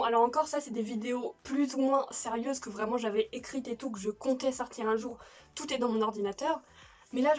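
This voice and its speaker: Fast-talking young French woman vlogger. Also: a little high. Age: young adult. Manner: chatty, self-mocking, frequent laughs and restarts. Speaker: YouTuber. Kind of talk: casual vlog chat. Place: bedroom at home.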